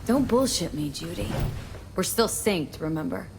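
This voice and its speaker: A woman's voice: lowish voice, animated, moderate pace, creaky, a little hiss or hum. A woman playing a playful, direct young mercenary and lover in a video game, with clipped, teasing phrasing. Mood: concerned, insistent. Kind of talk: naturalistic video game acting.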